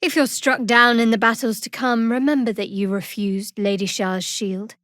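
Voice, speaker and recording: A young priestess of a dark goddess voiced by an actress for a fantasy video game. Her feminine voice sits a little high, in a very dry studio sound. She is guarded and secretive, with a sardonic, wry edge. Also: steady tone, moderate pace, no room sound, lightly acted. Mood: solemn, guarded.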